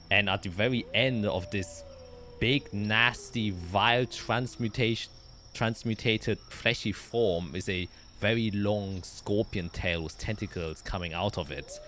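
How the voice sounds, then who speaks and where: A man narrating a tabletop roleplaying game as game master, with descriptive, dramatic storytelling and deliberate pauses. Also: on the low side, fairly bright tone, slight background noise.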